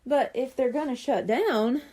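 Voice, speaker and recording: A woman's voice: sits high, unhurried, dark tone. A woman voicing a genial, folksy elderly man who owns a rabbit in a children's storybook. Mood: thoughtful.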